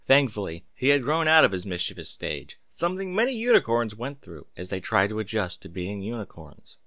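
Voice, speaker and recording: A man's voice: lowish voice, big pitch swings, fairly quick, bright, forceful tone, no echo, clean studio sound. A man reading a story aloud as scripted narration, with an emphatic, theatrical delivery. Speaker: audiobook-style story reader. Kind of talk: expressive scripted narration of fan fiction.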